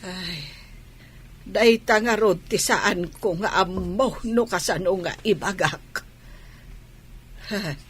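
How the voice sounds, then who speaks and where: A woman playing a worried, scolding village mother, husky and with an Ilocano accent, in a comedy radio drama. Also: mid-range voice, takes it slowly, pauses often, fairly bright tone. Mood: worried, hesitant.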